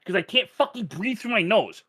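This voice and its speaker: A man's voice: sits high, sing-song, normal speed, pushed and bright, husky. A man co-hosting a stream who has a cold and is congested, talking bluntly and swearing a lot. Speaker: stream co-host.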